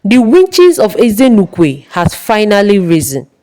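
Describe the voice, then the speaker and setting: Woman voicing a calm, ominous medicine man. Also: mid-range voice, unhurried. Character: grave, foreboding old medicine man.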